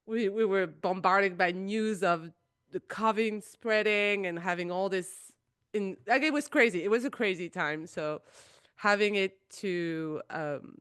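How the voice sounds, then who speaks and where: A woman's voice: medium pitch, unhurried, fairly bright tone, smooth, no room sound, studio quality. A woman co-hosting a podcast, chatting in an effusive way with frequent restarts. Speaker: podcast co-host. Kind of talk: casual chat.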